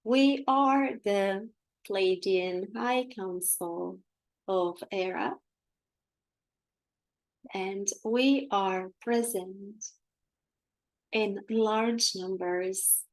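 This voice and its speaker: A woman with a Romanian accent voicing a loving collective of star beings that speak as one, in a very slow, measured, solemn way. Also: mid-range voice, no echo, low-quality recording. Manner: very slow, measured, solemn delivery with long pauses.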